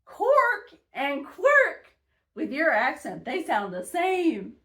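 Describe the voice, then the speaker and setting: A woman's voice: high voice, wildly swooping pitch, slow, bright, forceful tone. A woman co-hosting a casual stamp show, joking around in a silly, playful way. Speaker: co-host. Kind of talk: playful comic banter.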